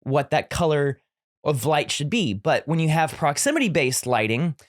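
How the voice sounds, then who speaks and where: A man's voice: a little high, big pitch swings, normal speed, dry recording, studio quality. A man co-hosting a casual podcast chat, explaining technical ideas at length with frequent restarts. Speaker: podcast co-host.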